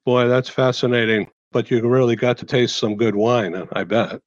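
A man's voice: medium pitch, fairly even intonation, normal speed, no echo, dull audio. A man hosting an interview, asking friendly, leading questions of his guest. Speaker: interview host. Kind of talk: interview conversation.

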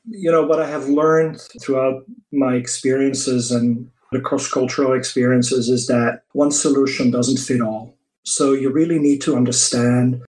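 A man's voice: mid-range voice, darkish tone, rough recording. Belgian man with a Dutch accent. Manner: earnest, enthusiastic, uses "you know" and "right?" often. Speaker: guest, HR executive. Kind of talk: interview conversation.

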